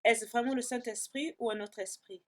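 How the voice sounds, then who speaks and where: Woman dubbing a sermon, voice sits a bit high, earnest and emphatic, preachy voice-over read. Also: fairly even intonation, moderate pace. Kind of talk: sermon dubbed and read in French.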